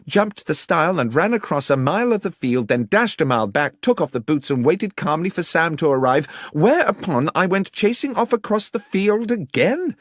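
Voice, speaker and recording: A man's voice: high voice, dry recording, clean studio sound, voice pushed up. A man voicing a young vicar in an audiobook, indignant and scornful, with a genteel, haughty, sarcastic manner. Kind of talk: audiobook character acting.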